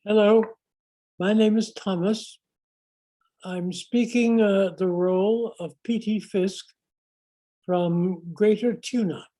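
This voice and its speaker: A woman's voice: mid-range voice, steady tone, takes it slowly, pauses often, mellow, rough recording. A woman actor plainly introducing the monologue to be performed.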